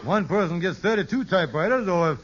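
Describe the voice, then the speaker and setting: An actor in an old radio sitcom playing a wily, henpecked schemer who argues smoothly in folksy, ungrammatical talk. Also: male voice, sits high, expressive, fast. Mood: wily, insistent. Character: wily, fast-talking male schemer and henpecked husband. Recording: dull, noisy vintage radio recording.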